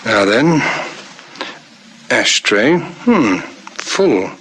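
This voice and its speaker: An actor playing a methodical, courteous police lieutenant in a radio crime drama. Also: male voice, mid-range voice, sing-song, extremely slow, a bit bright, breathy edge, exaggerated voice. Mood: brisk, satisfied. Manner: businesslike, courteous, thinking aloud. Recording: noisy vintage radio recording.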